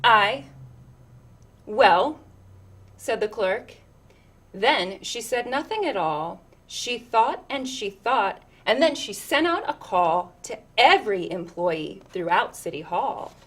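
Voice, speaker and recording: Slow, animated woman reading a picture book. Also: mid-range voice. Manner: expressive, chatty asides about pictures. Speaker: storytime reader. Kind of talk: read-aloud children's picture book with asides.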